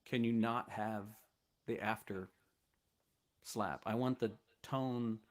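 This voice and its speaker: A man from the United States sits in as a guest and tells stories in a loose, easygoing way. His voice sits fairly low. He meanders through each anecdote with plenty of fillers. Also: fairly even intonation, takes it slowly. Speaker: guest bass player. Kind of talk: casual storytelling chat.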